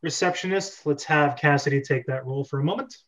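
A man's voice: fairly high pitch, fast, fairly bright tone. A man casually hosting and moderating a group script reading over a video call. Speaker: host of a script reading club. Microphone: video call on computer. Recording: dull lo-fi video call audio.

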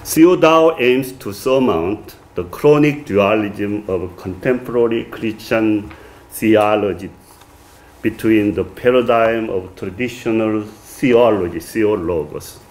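A middle-aged man with a strong Korean accent giving an academic lecture at a podium, reading from a manuscript with many halting "uh" fillers. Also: fairly low pitch, darkish tone, low-quality recording. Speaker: visiting lecturer. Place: wood-paneled reception room, indoors.

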